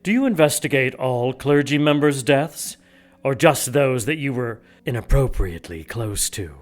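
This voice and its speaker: Man voicing a sly scheming monk, smooth, condescending, quietly menacing. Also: medium pitch, expressive, normal speed, lightly acted.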